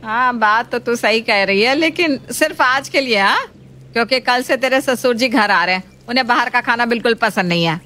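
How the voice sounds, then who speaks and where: A woman voicing a homely middle-aged mother-in-law who coaxes and gently scolds in a family story, with faint noise behind. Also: on the high side, normal speed. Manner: coaxing, explanatory.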